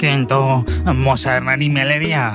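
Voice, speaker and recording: A cautious mosquito ghost henchman, played by a man in a children's cartoon. He sounds respectful and pleading at a medium pitch, with music clearly playing behind. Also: flat delivery, normal speed, natural voice. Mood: cautioning.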